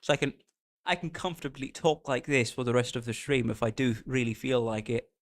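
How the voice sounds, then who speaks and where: Excitable streamer with a light Indian accent. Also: male voice, mid-range voice, a little fast. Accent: Indian, blended with American and British. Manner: excitable, chatty, greets viewers by name. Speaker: streamer reacting to game music. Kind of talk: casual live-stream chat.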